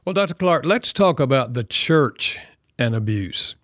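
A man's voice: mid-range voice, sing-song, measured pace, a bit bright, dry recording, studio quality. A man hosting a radio interview in a conversational style, putting questions to a guest. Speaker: radio show host.

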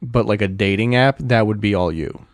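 A man's voice: fairly low pitch, normal speed, no echo. A young man co-hosting a podcast, talking casually in a rambling way with lots of fillers and laughing often. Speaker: podcast co-host.

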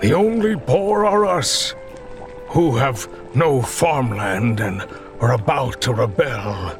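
A man voicing a blind, frail old man who tells stories in a slow, weary, complaining drawl. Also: medium pitch, animated, faint music behind, pitched above the performer's own voice.

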